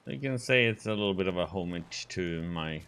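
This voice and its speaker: A man's voice: low voice, lively intonation, brisk, a bit bright, clear, resonant tone. A man from Sweden, casually commenting on a video game as he plays and muttering to himself and to the characters on screen. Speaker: game streamer.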